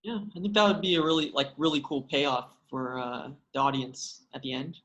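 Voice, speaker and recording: Hesitant, appreciative mid-pitched man. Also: lively intonation, moderate pace, fairly bright tone, low-quality recording. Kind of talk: casual feedback discussion.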